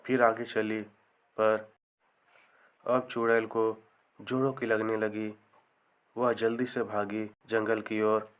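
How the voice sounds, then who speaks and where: A man narrating a scripted comic folktale as a storyteller, in measured short phrases. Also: lowish voice, level tone, a little hiss or hum.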